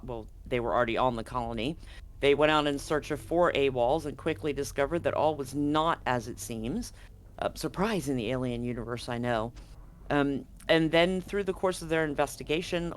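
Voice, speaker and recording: A woman as game master, recapping the story in a chatty, rambling way with frequent restarts. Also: extremely low pitch. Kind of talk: recapping a tabletop game to a live audience.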